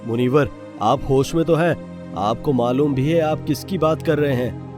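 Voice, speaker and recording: Anxious, formal king for a moral-tale cartoon played by a man, mid-pitched, with music clearly behind. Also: moderate pace, close to an everyday voice. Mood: concerned. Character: anxious, stern king of a folktale kingdom. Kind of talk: Hindi moral-story cartoon dubbing. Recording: voice over prominent background music.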